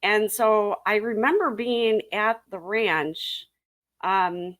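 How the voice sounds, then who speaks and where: A woman's voice: medium pitch, slow pace, fairly bright tone. A woman urology nurse chatting on a panel, rambling with restarts and clinical jargon. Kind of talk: casual panel chat.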